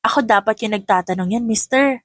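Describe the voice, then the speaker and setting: Woman as sassy young mother, female romantic lead, feisty, highish pitch, audio drama, dry sound. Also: fairly quick, no echo, natural voice. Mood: teasing. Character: sassy, teasing young mother being courted.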